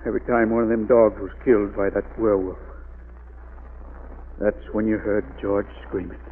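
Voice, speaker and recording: An actor playing a loyal, reluctant caretaker with a rustic, hesitant way of talking, in a 1940s radio drama. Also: male voice, lowish voice, little pitch movement, normal speed, close to an everyday voice. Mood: reluctant, nervous. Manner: rustic, plain-spoken, hesitant drawl. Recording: tinny old radio recording.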